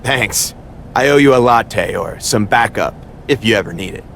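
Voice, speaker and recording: A man's voice: on the low side, animated, normal speed, creaky, background noise. A man playing a wry, wisecracking wrestler in a video game cutscene. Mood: friendly.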